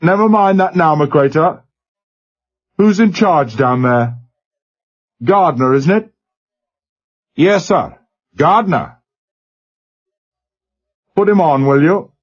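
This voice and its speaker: A worn older sea captain, as a male narrator puts him on, clipped and urgent in an audiobook. His voice is raised above the reader's own and husky, with a lively swing. The recording is dull and lo-fi. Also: on the high side, takes it slowly, pauses often, voice pushed up.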